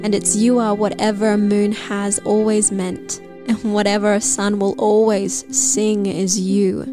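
A woman reading a poem aloud in a slow, measured way, with long pauses between phrases. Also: medium pitch, level tone. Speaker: poetry reader. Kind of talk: slow, measured poetry recitation. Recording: music laid prominently under the voice. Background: prominent music.

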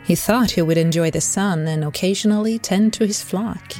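A woman playing an unearthly, knowing storyteller in an audio drama, measured and dryly wry. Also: mid-range voice, a little mellow, faint music behind, lightly acted. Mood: measured storytelling. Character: unearthly storyteller who speaks as a divine voice to prophets. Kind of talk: dramatic scripted narration.

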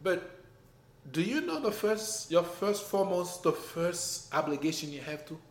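A man with a French accent talking in a casual conversation, emphatic and animated, his voice rising when he makes a point. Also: high voice, measured pace. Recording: echoey room, faint background noise.

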